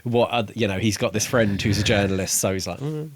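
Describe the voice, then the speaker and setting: A man co-hosting a podcast, discussing a book casually and haltingly, thinking aloud in repeated short phrases. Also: on the low side, husky, no echo. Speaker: podcast co-host reviewing a book.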